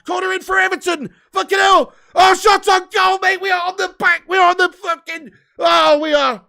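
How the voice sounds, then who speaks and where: A man with a hoarse, fading voice, giving sweary, excitable live fan commentary while reading chat. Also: very high voice, normal speed. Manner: swearing, excitable, shouts at chances. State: losing his voice. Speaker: fan watch-along streamer.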